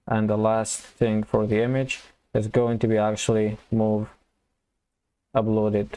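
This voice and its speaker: Male tutorial instructor, informal and halting, with a lowish, very even delivery for step-by-step screen walkthroughs. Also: clear, resonant tone. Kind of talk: casual screen-recorded coding walkthrough, thinking aloud.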